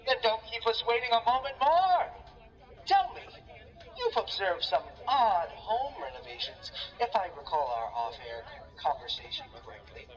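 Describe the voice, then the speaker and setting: A woman's voice: on the high side, sing-song, moderate pace. A woman playing an eager, showy 1920s newspaper reporter, speaking like a formal old-time radio announcer with theatrical curiosity. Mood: showy, excited. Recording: distant, dull, echoing radio-like sound, music behind.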